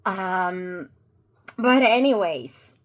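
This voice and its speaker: A Croatian woman whose first language is Croatian, talking slowly and deliberately through a commentary vlog. She pauses often and restarts her sentences, earnest and unhurried, seated at her desk. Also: medium pitch, animated. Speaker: YouTuber. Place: at a desk with two monitors.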